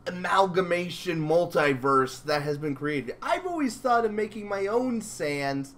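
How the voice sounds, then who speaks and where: A man with a fairly high, very lively voice hosts a casual interview. He rambles along like an enthusiastic fan, his intonation moving up and down a great deal. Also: unhurried. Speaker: interviewer and channel host.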